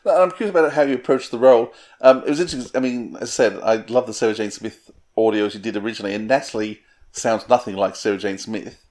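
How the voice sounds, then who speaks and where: A man with a medium-pitched voice acts as interviewer. He speaks conversationally, in an analytical way, working out his thoughts as he phrases them. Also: fast, darkish tone.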